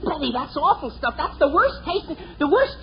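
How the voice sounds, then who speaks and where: Earnest teenage boy, old radio sitcom: high, excitable, swooping voice, dull noisy recording with audience. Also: moderate pace, vocal fry, full character voice. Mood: frantic excitement. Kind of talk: 1940s radio sitcom acting.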